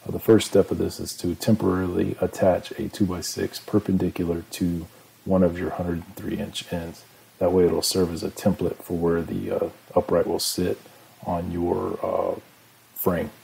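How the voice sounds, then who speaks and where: Deep gravelly man explaining woodworking steps. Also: moderate pace, a little hiss or hum. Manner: steady step-by-step explaining with "uh" fillers. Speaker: DIY channel host. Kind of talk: instructional voice-over.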